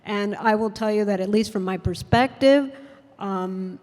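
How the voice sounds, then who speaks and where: Woman, federal defense panelist, earnest, filler-heavy, mid-pitched, long hall reverb. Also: a little slow, darkish tone. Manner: earnest, thoughtful, with frequent fillers. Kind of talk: panel discussion answer. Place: conference hall.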